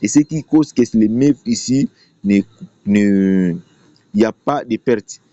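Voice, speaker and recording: A man's voice: on the low side, slow, mellow, no room sound. A man presenting an unscripted screen-share tutorial, explaining emphatically and often repeating his phrases. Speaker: YouTube tutorial presenter promoting a trading platform. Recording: dull, lo-fi voice recording.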